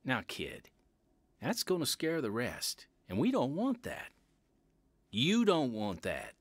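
An actor playing a firm but patient police officer in a radio drama, commanding in manner. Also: male voice, medium pitch, huge pitch swings, slow, no echo, studio quality, heavily acted. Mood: firm, reasoning.

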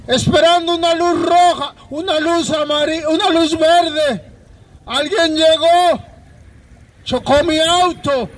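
A woman giving a slow, earnest street sermon through a handheld microphone outdoors. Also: extremely high pitch, a little hiss or hum, poor audio. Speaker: street preacher. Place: city sidewalk outdoors. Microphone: handheld mic at a podium, far camera.

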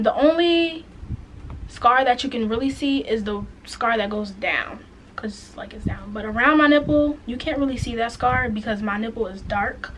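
A raspy-voiced woman in her twenties telling a frank, chatty story-time vlog to camera, full of "like" and "um". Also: fairly high pitch, a bit bright, a little hiss or hum, low-quality recording. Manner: chatty, frank, many "like" and "um" fillers. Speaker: vlogger. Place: indoors, plain light wall, small room. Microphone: camera in front, head and shoulders.

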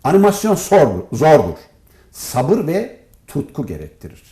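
A man speaking as a professor and interview guest, giving formal, emphatic and deliberate answers. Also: fairly high pitch, wildly swooping pitch, warm tone. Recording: roomy echo.

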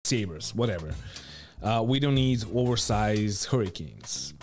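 A man's voice: on the low side, animated, measured pace. A man in his thirties giving opinionated casual commentary in a blunt, emphatic way, close to the microphone. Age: 30s. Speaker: video commentator. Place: home studio room. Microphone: close boom microphone with headphones.

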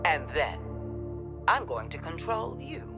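A woman's voice: on the low side, very animated, slow, over loud music, heavily acted. A woman playing a ruthless, domineering, foul-mouthed commander in a video game cutscene, stern and sardonic. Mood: menacing.

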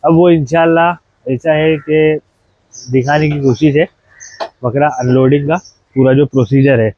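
A man's voice: on the high side, fairly even intonation, a little slow, mellow, highs cut off. Chatty man vlogging from a noisy goat farm. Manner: chatty, explains step by step, addresses viewers directly. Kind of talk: casual vlog narration. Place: goat farm and street, outdoors. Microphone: clip-on mic after setup. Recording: noisy outdoor street with traffic.

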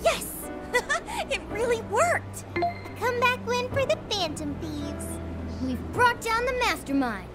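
A woman's voice: very high-pitched, sing-song, takes it slowly, loud music behind the voice, exaggerated voice. A woman voicing a cheerful, eager young girl in an anime video game, with a perky way of talking. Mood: triumphant.

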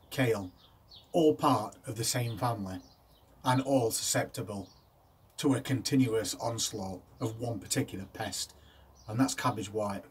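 Steady middle-aged man explaining gardening. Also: fairly low pitch, breathy edge. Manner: steady, methodical explaining with practical tips. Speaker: gardening channel host. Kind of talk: instructional talk to camera. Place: inside a garden greenhouse. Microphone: camera set back at a distance. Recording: slightly distant camera audio with faint ambience.

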